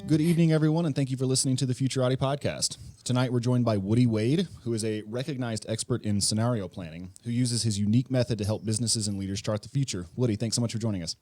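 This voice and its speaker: A man co-hosting a podcast, introducing the show and its guest in a brisk, friendly way. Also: mid-range voice, warm tone, no echo, soft background music. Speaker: podcast co-host.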